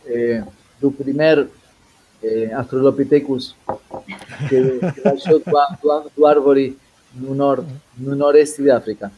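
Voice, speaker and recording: A man's voice: mid-range voice, steady tone, measured pace, highs cut off. A man, a composer speaking as a guest in a casual conversation, talking animatedly and switching back and forth between Portuguese and Spanish.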